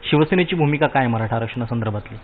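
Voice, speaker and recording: A man's voice: mid-range voice, quick pace, slight background noise. A man asking questions as a news reporter in an interview. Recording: thin phone-like band-limited audio.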